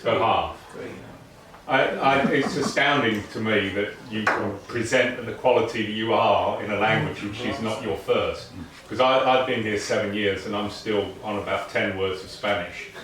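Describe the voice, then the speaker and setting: A man's voice: lowish voice, steady tone, moderate pace. Chatty man asking students questions. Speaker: debate host. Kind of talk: casual post-debate chat. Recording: roomy, distant mic, background noise.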